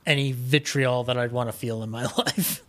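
A man talking as a podcast guest in a casual, enthusiastic way, animated, rambling and opinionated. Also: mid-range voice, moderate pace. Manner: animated, rambling, opinionated, acts out imagined quotes.

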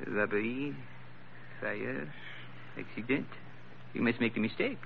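An actor playing a puzzled police desk officer in an old radio drama, with a French accent and halting, polite, stiff English. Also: male voice, mid-range voice, gravelly. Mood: dismissive. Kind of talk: 1940s-50s radio adventure acting. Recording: muffled old radio recording, faint noise.

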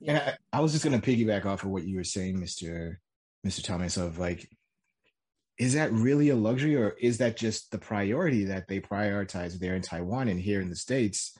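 A man's voice: fairly low pitch, animated, a little fast, darkish tone. A man hosting a conversational podcast, musing aloud and summing up what others have said. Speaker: podcast host.

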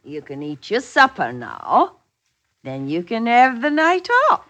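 Affectionate country wife, wistful, lilting wide intonation, slow delivery, archaic phrasing, old radio play. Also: female voice, fairly high pitch, huge pitch swings, fairly bright tone, full character voice. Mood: brisk. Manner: theatrical, quaint archaic phrasing. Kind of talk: old-time radio drama acting. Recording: vintage radio drama recording.

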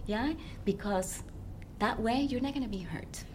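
A woman's voice: fairly high pitch, expressive, measured pace, slight background noise. A woman who works as a relationship coach, speaking as an interview guest and often checking in with her listener. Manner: warm, earnest, checks in with "yeah?".

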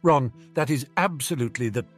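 A man voicing a sardonic, clever schoolboy wizard with a dry, teasing, deliberate manner in an audiobook. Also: medium pitch, soft background music, pitched above the performer's own voice, natural voice. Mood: smug sarcasm.

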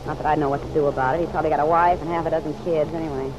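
Hard-boiled, sharp-tongued dame, played by an actress on muffled old radio. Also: female voice, sits low, steady tone, racing, natural voice. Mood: defiant. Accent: American. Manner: curt, slangy, sardonic. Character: hard-boiled, sharp-tongued working-class woman, defiant. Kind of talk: old-time radio drama acting. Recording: muffled vintage radio, faint noise.

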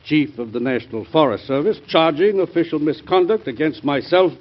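A man's voice: a little high, brisk, natural voice. A man playing an earnest cabinet secretary who defends his honor formally and emphatically, in 1940s radio drama style. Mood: defensive, emphatic. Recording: dull old radio drama recording.

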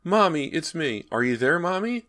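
A terrified little ghost boy for a horror audiobook. The voice is tiny, childlike and pitched far up, swooping as it pleads and wails for its mother. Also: very animated, moderate pace, a bit bright. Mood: terrified pleading. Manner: pleading, wailing for his mother. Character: frightened little ghost boy trapped inside a wall.

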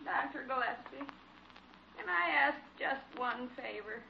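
Casting: self-pitying sick woman, theatrical moans, drawn-out delivery with swooping pitch, dull vintage radio drama. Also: lively intonation, creaky, heavy background noise, full character voice. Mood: pleading. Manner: moaning, plaintive, theatrical. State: groaning as if in pain. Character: plaintive, self-pitying female hospital patient, melodramatic. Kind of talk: old-time radio drama acting.

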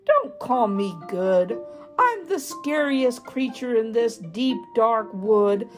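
A woman's voice: on the high side, very animated, slow, music in the background. A woman voicing a small, clever, boastful mouse in a read-aloud storybook.

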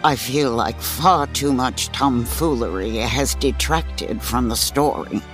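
A woman playing a condescending old storyteller in a comedic audio drama, dry, deliberate and snide. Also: rumbling low, music in the background. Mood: weary condescension. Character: condescending old female storyteller, long-suffering and superior.

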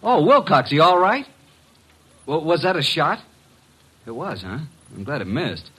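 An actor playing a brash, wisecracking detective's assistant and narrator in a vintage radio drama, breezy and slangy. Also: male voice, a little high, wildly swooping pitch, a little slow, a bit bright, breathy edge, exaggerated voice. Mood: excited, urgent. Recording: noisy vintage radio recording.

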